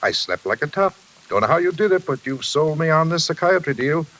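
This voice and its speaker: A man's voice: fairly high pitch, animated, brisk, fairly bright tone. Blustering railroad man, swooping delivery. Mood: jaunty, evasive. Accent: American. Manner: folksy, blustering, talkative. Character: confessing middle-aged railroad man, jaunty and self-justifying. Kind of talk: old-time radio drama acting. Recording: noisy, hissy vintage radio broadcast.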